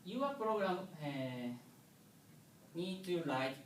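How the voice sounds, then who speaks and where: A Japanese man with a Japanese accent walks an audience through a coding demo, his English halting and full of pauses and restarts. He speaks slowly, at an unhurried pace. His voice comes from a distance in an echoey lecture room with faint background noise. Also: high voice, big pitch swings. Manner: halting, many filler pauses and restarts, simple phrasing. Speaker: conference presenter. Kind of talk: technical presentation.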